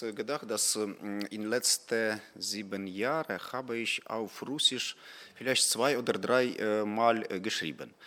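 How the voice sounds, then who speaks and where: A Ukrainian writer from the east of the country, a native Ukrainian speaker with a Ukrainian accent, speaking haltingly at a panel with many äh fillers. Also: male voice, lowish voice, fairly bright tone. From eastern Ukraine. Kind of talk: panel discussion in German.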